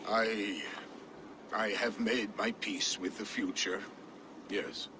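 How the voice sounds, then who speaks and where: An actor playing an older, earnest Viking healer and adviser who scolds the young lords. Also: male voice, lowish voice, steady tone, slow. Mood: grave. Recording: game cutscene with ambient noise.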